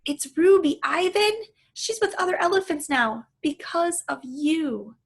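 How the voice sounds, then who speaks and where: A woman's voice: very high-pitched, a little slow, voice pushed up. A woman voicing a kind, encouraging father in a friendly manner for a children's story.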